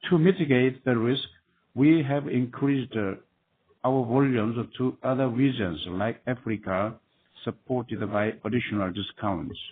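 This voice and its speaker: Male managing director, formal scripted delivery with halting phrasing, medium pitch, narrow telephone sound. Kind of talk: prepared earnings call remarks. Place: conference call. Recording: tinny phone line with clear noise.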